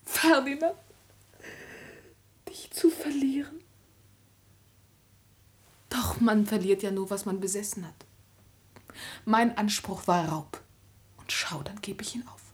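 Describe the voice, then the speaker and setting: An actress playing a dutiful, anguished young woman in love in a classic German tragedy for radio, breathy and solemn, often near a whisper. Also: medium pitch, slow pace, pauses often, close to an everyday voice. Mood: sorrowful resolve.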